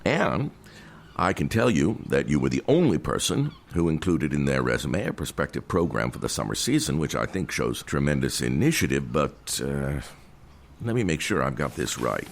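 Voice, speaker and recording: An older, patronizing theater owner in an audio drama. The voice is extremely low and gravelly, formal yet moving expressively up and down, with faint noise behind it. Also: male voice, big pitch swings, moderate pace, exaggerated voice. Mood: businesslike, skeptical. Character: stately, skeptical older theater owner, a doctor.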